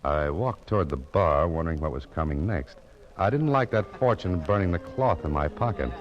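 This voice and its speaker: An actor playing a world-weary, wry American private detective in a deadpan radio noir style. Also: male voice, low voice, moderate pace. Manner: dry, deadpan, wisecracking. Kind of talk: 1940s-50s radio noir narration and dialogue. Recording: muffled vintage radio recording.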